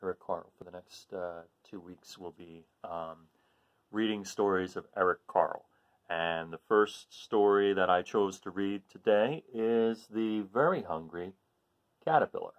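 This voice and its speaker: A middle-aged man reading a children's storybook aloud as host, in short deliberate phrases with expressive emphasis on key words. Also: low voice. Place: small room at home, indoors.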